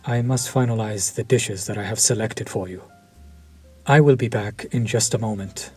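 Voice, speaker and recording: A man voicing a suave, courteous vampire chef who speaks formally and softly, sometimes near a whisper. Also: on the low side, steady tone, slightly rough, faint music behind. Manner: slow, formal and soft-spoken, dropping to near-whispers. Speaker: voice actor. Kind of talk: audio roleplay to the listener.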